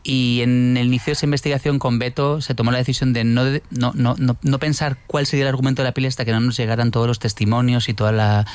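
A man's voice: medium pitch, little pitch movement, fast, hardly any pauses, clear, resonant tone, no echo. A man, a film director, talking reflectively in an interview with frequent restarts and tag questions. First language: not Catalan; works in another language. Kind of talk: conversational interview.

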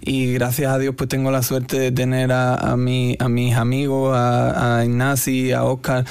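A man with a Cádiz Andalusian accent talking casually as an interview guest, halting and searching for words. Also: medium pitch, completely flat delivery, a little mellow, clean tone, no echo. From Andalusia, Spain. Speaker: guest musician.

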